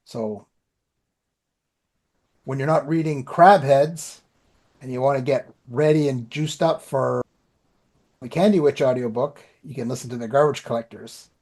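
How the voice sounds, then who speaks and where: A Canadian man co-hosts a casual podcast, sharing personal updates. His voice sits at a medium pitch, and he rambles along in a self-deprecating, conversational way. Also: a little slow, pauses often, a little mellow. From Ottawa area, Canada. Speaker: podcast co-host and author.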